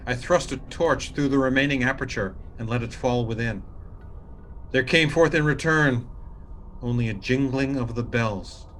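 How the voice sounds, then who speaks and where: A man playing a vengeful, cold-blooded murderer, reading gothic horror prose in a theatrical, deliberate manner. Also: medium pitch, a little hiss or hum. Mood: impatient, grave. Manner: theatrical, deliberate, swelling from hushed to fierce. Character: vengeful, cold-blooded male murderer recounting his crime.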